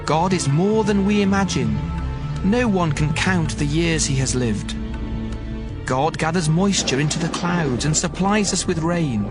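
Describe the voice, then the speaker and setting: An actor playing a devout man who earnestly declaims his awe of God's power in a dramatized audio Bible. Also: high voice. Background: music under the voice.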